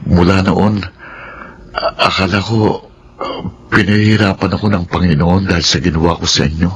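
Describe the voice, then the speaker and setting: An actor with a Filipino accent playing a remorseful husband who talks in an earnest, halting way, in a radio drama. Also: male voice, deep voice, steady tone, a little hiss or hum. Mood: contrite. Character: remorseful middle-aged husband seeking forgiveness. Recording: radio drama with soft music.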